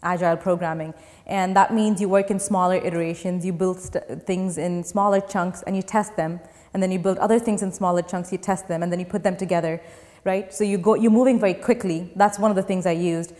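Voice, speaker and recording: A woman's voice: lowish voice, steady tone, brisk, darkish tone. Young woman founder in a reverberant space. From Dubai. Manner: earnest, explains step by step. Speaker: startup founder. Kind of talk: interview answers. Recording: long reverb.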